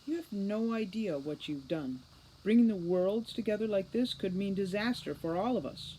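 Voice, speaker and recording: A man's voice: high-pitched, moderate pace, mellow, a little hiss or hum, voice pushed up, lightly acted, rough recording. A man voicing a furious captive female fairy captain in an audiobook, defiant and indignant, spitting out words with contempt. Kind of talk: audiobook character reading.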